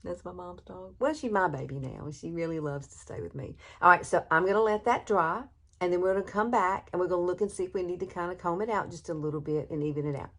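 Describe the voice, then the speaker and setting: A woman's voice: fairly low pitch, fast talker. A woman in her sixties with a Southern US accent, chatting folksily through a makeup tutorial, filmed on a phone in her bedroom. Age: 60s. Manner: chatty, folksy, laughs often. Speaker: beauty vlogger. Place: bedroom at home. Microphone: phone camera, no external mic.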